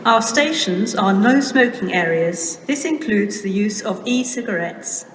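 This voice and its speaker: A woman's voice: mid-range voice, animated, measured pace, a bit bright. A British woman making formal, automated railway announcements in pieced-together scripted phrases over a station public address. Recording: echoey noisy station speakers from far off.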